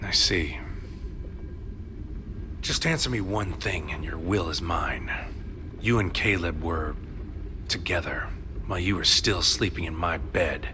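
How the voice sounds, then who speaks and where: An actor playing a tormented psychic soldier in an audio drama, grave and brooding, with formal, poetic phrasing. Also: male voice, low-pitched, measured pace, pauses often, background noise. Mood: pained. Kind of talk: audio drama acting with narrated inner monologue. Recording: studio voice over music.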